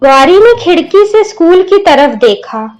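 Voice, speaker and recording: High-voiced, slow-paced woman storyteller. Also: big pitch swings, darkish tone. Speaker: narrator. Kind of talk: scripted narration of a moral story. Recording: music under the voice. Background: instrumental music.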